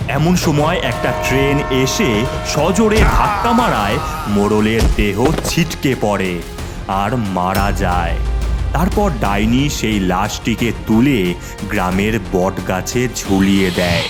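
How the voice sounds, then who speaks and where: A man's voice: fairly low pitch, animated, slow pace. A man narrating a horror cartoon story in a dramatic scripted style. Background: music.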